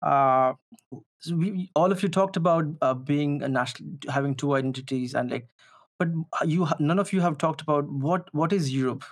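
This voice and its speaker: A man moderating a casual discussion, halting, repeating words and filling gaps with "uh". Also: on the high side.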